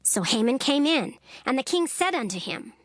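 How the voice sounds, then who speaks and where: A woman narrating a dramatized scripture recording in a measured, solemn storytelling manner. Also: sits high, big pitch swings, no room sound, studio quality. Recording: narration over clear background music. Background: background music.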